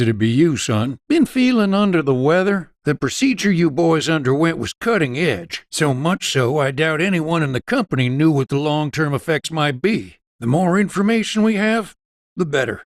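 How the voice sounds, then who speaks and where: An actor playing a wry, folksy small-town doctor in a video game, with a Southern US accent and an easygoing drawl. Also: male voice, fairly high pitch, animated, moderate pace, no room sound, studio quality, lightly acted. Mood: pensive, serious. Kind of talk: character dialogue in a video game.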